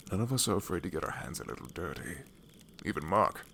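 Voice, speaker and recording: A jovial old fantasy wanderer, acted out by a man, talks in a theatrical, teasing way with a gravelly edge. His delivery swings widely in pitch, over faint night noise with crickets. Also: lively intonation, fast talker, a bit bright, exaggerated voice. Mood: teasing, hearty. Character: hearty old uncle, teasing, hospitable leader of wanderers. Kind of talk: fantasy audio roleplay.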